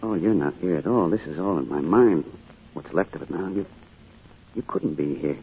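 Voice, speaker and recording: An actor playing a grieving, withdrawn middle-aged father in a radio drama, speaking in a weary, halting way. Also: sits low, expressive, vocal fry, breathy edge, heavily acted. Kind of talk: old-time radio drama acting. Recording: muffled, noisy old radio recording.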